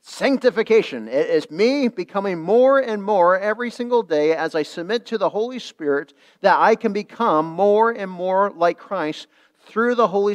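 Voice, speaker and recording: A male pastor preaching a midweek sermon, earnest, repeating phrases and restarting lines. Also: high voice.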